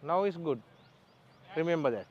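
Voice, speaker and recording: A man with a Sri Lankan accent runs bowlers through drills in the outdoor nets, his voice sitting high and bright. He speaks in clipped, direct broken English, quick to laugh between instructions. A faint outdoor murmur sits underneath, picked up by a clip-on mic. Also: animated, muffled recording, a little hiss or hum. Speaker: bowling coach. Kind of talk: coaching at net practice. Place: outdoor cricket nets at a training ground.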